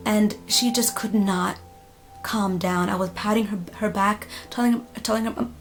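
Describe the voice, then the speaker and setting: A woman telling a spooky personal story casually, in a dramatic, emphatic manner with pauses. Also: medium pitch, fairly even intonation. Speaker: storytime vlogger. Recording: music playing behind the voice. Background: background music.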